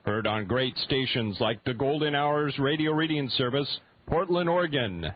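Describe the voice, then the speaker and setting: A man's voice: mid-range voice, moderate pace. A man reading a scripted radio network identification as an announcer. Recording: slightly dull radio recording.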